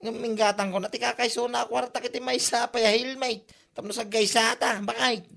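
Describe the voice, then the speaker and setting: A woman voicing a boastful village husband in an Ilocano comedy drama, with an animated, exclaiming delivery. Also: on the high side, fairly quick, vocal fry. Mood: pleased.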